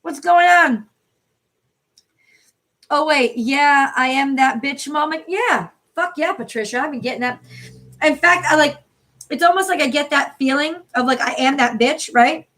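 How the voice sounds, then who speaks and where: A woman from the New York City area with a hoarse voice, chatting casually and swearing freely as she reads tarot cards live for viewers. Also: high voice, measured pace, fairly bright tone. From New York City area, USA. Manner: chatty, profane, greets viewers by name. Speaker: tarot card reader hosting a livestream. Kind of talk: casual live-stream chat.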